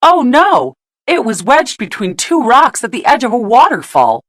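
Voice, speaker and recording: A woman narrating a children's storybook in an expressive read-aloud style, putting questions to young listeners. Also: fairly high pitch, moderate pace, no room sound, studio quality.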